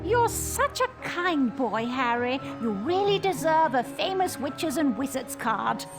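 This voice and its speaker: A woman's voice: high voice, sing-song, measured pace, over music, heavily acted. An English actress voicing a kindly middle-aged mother witch in a video game, gushing and motherly. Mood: grateful. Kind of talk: video game cutscene acting.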